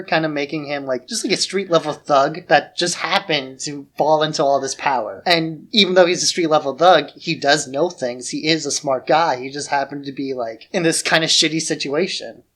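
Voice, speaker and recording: A man talks as a podcast co-host in a loose, informal way. He gives opinions freely, in the casual style of a relaxed discussion. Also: on the high side.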